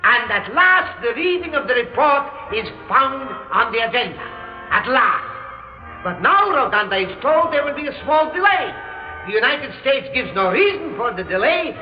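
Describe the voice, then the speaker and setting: A man's voice: extremely high pitch, big pitch swings, normal speed. A man acting an indignant, accusing foreign delegate declaiming a speech in an old radio drama. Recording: echoing hall, muffled radio, faint music.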